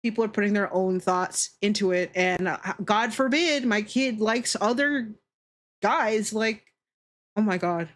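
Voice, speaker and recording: A woman livestreamer talking casually to her viewers in an animated, opinionated, sweary way. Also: mid-range voice, unhurried. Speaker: livestreamer hosting a Q&A.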